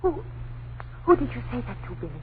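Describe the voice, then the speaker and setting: Frightened mother, near hysteria, vintage radio horror; high, volatile, pleading delivery through heavy noise. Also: very animated, fast talker. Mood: worried. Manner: tremulous, pleading, melodramatic. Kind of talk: old-time radio horror drama acting. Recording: muffled, very noisy old radio broadcast.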